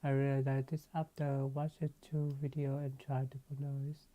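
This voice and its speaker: A woman's voice: rumbling low, flat delivery, a little slow, mellow. A woman from Vietnam with a Vietnamese accent, co-hosting a casual chat in simple English with short replies. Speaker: co-host.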